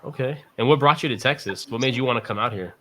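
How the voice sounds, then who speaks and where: A man's voice: mid-range voice, fast, bright, forceful tone, slight background noise. An American man hosting a show, interviewing a guest in casual conversational English.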